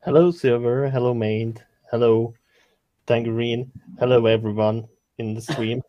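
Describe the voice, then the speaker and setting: A man's voice: mid-range voice, steady tone, unhurried, no echo, highs cut off. A man with a Hungarian accent chatting casually as a guest artist on a show. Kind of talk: casual chat.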